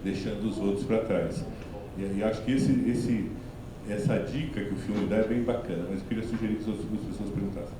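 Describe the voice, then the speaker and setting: A man with a lowish voice comments in a reflective, measured way. His voice bounces around a very echoey hall, with clear noise underneath. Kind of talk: casual discussion after a film screening.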